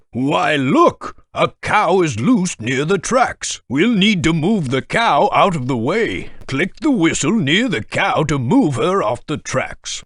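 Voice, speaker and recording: A man voicing a portly, kindly older male railway boss who gives brisk, encouraging instructions in a game. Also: fairly high pitch, a bit bright, slightly rough, no echo, studio quality, full character voice. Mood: guiding. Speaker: game guide giving instructions. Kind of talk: studio game voice-over. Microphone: studio microphone.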